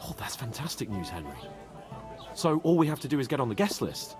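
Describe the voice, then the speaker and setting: An actor playing a pompous, excitable young nobleman in a video game, theatrical and quick to indignation. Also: mid-range voice, over music, natural voice. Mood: sarcastic. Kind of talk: dramatic video game dialogue.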